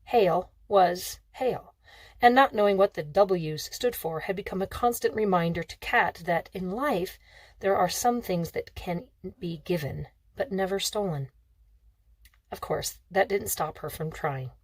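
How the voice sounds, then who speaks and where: A woman reading a novel aloud as expressive scripted narration. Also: on the low side, measured pace, husky, dry recording. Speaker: audiobook reader.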